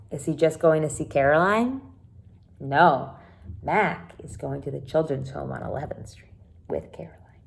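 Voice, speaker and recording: A woman with a slightly low voice reads to children as their teacher. Her pitch moves in a very lively way, and she leans on words for dramatic emphasis. She explains things in simple terms, speaking directly to young listeners. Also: creaky. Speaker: elementary teacher. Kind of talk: children's read-aloud lesson with explanations.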